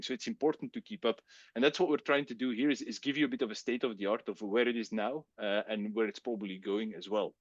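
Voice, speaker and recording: A man's voice: medium pitch, no echo, highs cut off. Flemish man presenting a webcast. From Belgium. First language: Dutch (Flemish). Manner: relaxed, chatty, many fillers and restarts.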